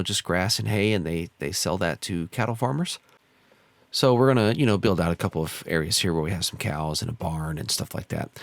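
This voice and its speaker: An American man with a slightly hoarse, stuffed-up voice from a cold, giving easygoing, casual tutorial commentary as a channel host. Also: lowish voice, dry recording. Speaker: host of a model railroad simulator channel.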